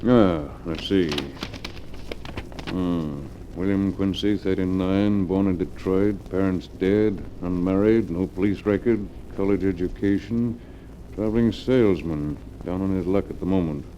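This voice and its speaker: A bossy scheming rich guy on the run, old 40s radio drama, mellow voice, muffled recording. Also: deep voice, a little slow, slight background noise. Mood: businesslike, appraising. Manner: brusque, commanding, self-assured. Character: domineering, scheming wealthy middle-aged fugitive man. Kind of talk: 1940s radio acting. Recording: dull, lo-fi 1940s radio recording.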